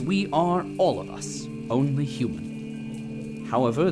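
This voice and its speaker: Grandiose radio drama narrator, slow and solemn, highish male voice, music behind him. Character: grave propagandist narrator glorifying heroes of a dystopian city.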